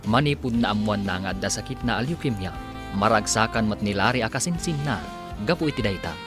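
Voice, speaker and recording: A man narrating a radio drama as its announcer, with emphatic, drawn-out titles. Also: fairly low pitch, animated. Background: music.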